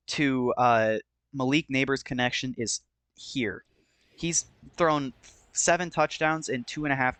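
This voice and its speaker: A man giving casual play-by-play commentary as host of a gaming video, his voice slightly hoarse. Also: medium pitch, a bit bright.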